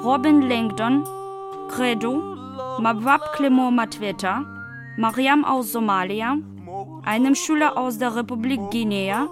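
A woman's voice: a little high, measured pace. A woman speaking in a radio play production. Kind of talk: retelling a story and reading credits in a radio play. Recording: clear music bed behind voice.

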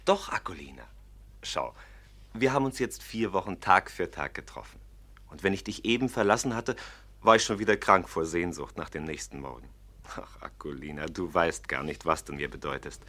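An actor playing an ardent young gentleman in love in a radio play, earnest and impassioned. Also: low voice, expressive, normal speed, full character voice. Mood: tender, ardent. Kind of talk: German radio play acting.

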